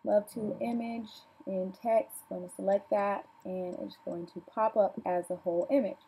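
A woman guides viewers step by step through an editing task in a plain, instructional way. Her voice is mellow and a little husky, at a middle pitch. She sounds like she's recording at home, with the camera aimed at her screen. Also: normal speed, rough recording. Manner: plain instructional, walks through clicks calmly. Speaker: software tutorial presenter. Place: home desk, filming a computer screen.

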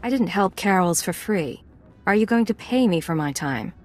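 A woman's voice: medium pitch, animated, moderate pace, darkish tone, soft background music, lightly acted. An actress playing a guarded, businesslike female secret agent in a video game, cool and terse. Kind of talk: video game cutscene acting.

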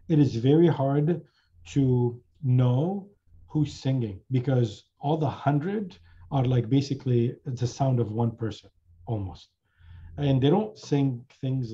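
Man, chant instructor, dark mellow voice, rambling casual talk, dull lo-fi video call sound. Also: medium pitch. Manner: rambling, many fillers and restarts. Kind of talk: casual discussion in an online class.

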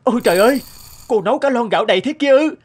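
A man voicing a haughty, scornful male servant in an animated folk tale, given to exclaiming. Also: very high-pitched, very fast, breathy edge, exaggerated voice. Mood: alarmed. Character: haughty male servant sneering at a poor girl.

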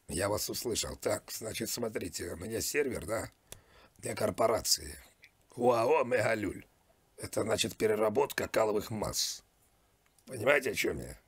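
A man making a deadpan prank call as a demanding customer, nagging and repeating himself in a pushy way. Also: fairly low pitch, breathy edge, dry recording. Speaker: prank caller.

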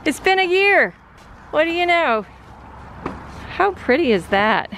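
A woman's voice: very high voice, very animated, a little slow, fairly bright tone, a little hiss or hum. A woman chatting casually and cheerfully on a rooftop while showing a house restoration. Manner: chatty, upbeat, teasing. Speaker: co-host of a house restoration channel. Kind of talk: casual on-camera chat. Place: outdoors on a rooftop.

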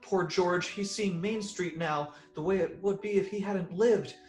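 A man playing a gentle, very old angel in a radio drama, earnest, innocent and fussily polite. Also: high voice, a little slow, roomy sound. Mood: reporting earnestly.

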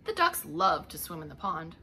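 A middle-aged woman reads a children's story in a bright, very lively voice that rises and dips. She speaks as a preschool teacher to little listeners, adding short asides. There is some noise in the room behind her. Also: on the low side, moderate pace. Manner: animated, expressive reading for young children. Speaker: preschool teacher reading aloud. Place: indoors, home living room.

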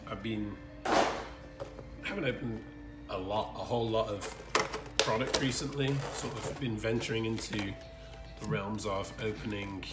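A man with a hoarse, croaky voice chats casually while opening cards, clearing his throat between halting remarks. His voice sits a little low and sounds set back from the mic in an echoey room. Loud music plays underneath throughout. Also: distant mic. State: croaky, hoarse from screaming at a game. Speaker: card-opening channel host. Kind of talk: casual unboxing commentary. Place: indoor room with collectibles on shelves.

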